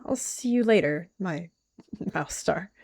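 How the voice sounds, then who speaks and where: Flustered, affectionate cat character voiced by a woman, awkward and teasing, slightly low voice, roleplay story. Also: lively intonation, takes it slowly, a little mellow, breathy edge, dry recording, clean studio sound, exaggerated voice. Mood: awkward, flirty.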